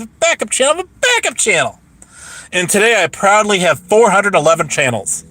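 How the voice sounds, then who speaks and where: Hoarse, bright-voiced boastful man. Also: high-pitched. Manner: boastful, repeats himself, laughs mid-sentence. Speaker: livestreamer. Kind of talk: rambling casual livestream talk.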